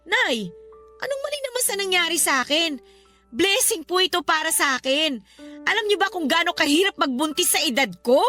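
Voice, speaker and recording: A woman's voice: very high-pitched, expressive, a little fast, sharp tone, soft background music, heavily acted. A woman playing a defiant grown daughter, pleading yet firm with her mother, in a Filipino radio drama. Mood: defensive, pleading.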